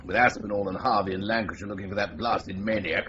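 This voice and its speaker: An actor playing a senior police officer briefing an inspector, in a clipped, brisk radio drama manner. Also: male voice, on the low side, background noise, close to an everyday voice. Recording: dull lo-fi radio recording.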